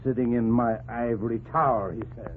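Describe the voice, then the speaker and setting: An actor playing a gruff, indignant newspaper editor who speaks emphatically, in 1940s radio drama style. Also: male voice, mid-range voice, a little fast, natural voice. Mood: stern, indignant. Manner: forceful, emphatic, declaiming. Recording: phone-like 1940s radio recording.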